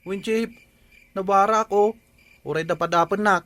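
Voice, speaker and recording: Man acting a high-voiced, timid village watchman. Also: steady tone, normal speed, a bit bright. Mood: nervous. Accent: Ilocano. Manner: nervous, deferential. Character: timid, superstitious junior village watchman, easily spooked. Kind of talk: Ilocano comedy radio drama acting.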